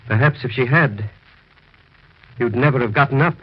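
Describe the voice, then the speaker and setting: A man's voice: mid-range voice, moderate pace, lightly acted. A man playing an alarmed, scolding doctor in a 1940s radio drama, urgent and stern. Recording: dull old radio recording.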